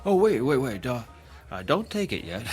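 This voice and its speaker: A man's voice: mid-range voice, big pitch swings, moderate pace, soft background music, natural voice. An actor playing an eager but uneasy young man, polite and hesitant, in a naturalistic radio drama. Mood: anxious, pleading.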